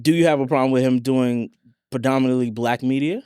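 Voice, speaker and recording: A man chats casually as a podcast host, posing questions to his panel. His voice is clear and smooth, and it is recorded very dry. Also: mid-range voice, a little fast, darkish tone, no room sound.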